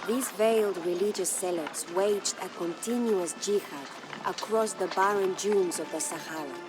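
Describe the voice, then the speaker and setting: An actress playing a devoted, sorrowful medieval Spanish noble wife who narrates her husband's legend in a solemn, measured way for a game. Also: mid-range voice, background noise, lightly acted. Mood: grave. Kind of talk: scripted dramatic storytelling narration. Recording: studio narration over prominent music.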